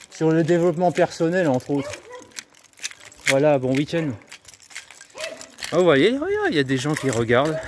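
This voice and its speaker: A man from France chatting casually to camera while walking outdoors, rambling and upbeat about personal development. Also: fairly high pitch. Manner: chatty, rambling, self-promoting, laughs at himself. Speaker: YouTuber and personal development coach. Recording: faint street noise and jangling keys.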